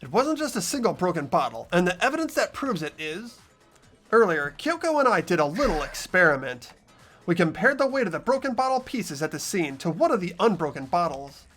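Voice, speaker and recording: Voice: medium pitch, sing-song, fairly quick, a bit bright, soft background music. Someone doing an eager teenage boy investigator. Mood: reasoning. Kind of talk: fan voice acting of a game.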